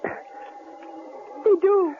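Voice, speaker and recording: An actress playing a frightened, loyal wife in an old radio drama, breathless and pleading. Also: female voice, extremely slow. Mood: anxious. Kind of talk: 1940s radio acting. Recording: tinny old radio broadcast with faint hiss.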